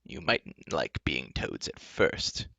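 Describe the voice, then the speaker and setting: A man voicing a plump, cheerfully menacing old enchantress from a storybook, coaxing and indulgent as she calmly threatens. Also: rumbling low, slow, vocal fry, dry recording, muffled recording, pitched below the performer's own voice, exaggerated voice. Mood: soothing, menacing. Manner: kindly, coaxing, matter-of-fact about threats. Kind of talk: storybook character reading.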